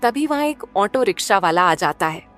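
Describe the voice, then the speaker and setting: A female storyteller delivers scripted narration for a cartoon tale. Her voice sits at an average pitch, with faint music playing behind it. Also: animated, moderate pace.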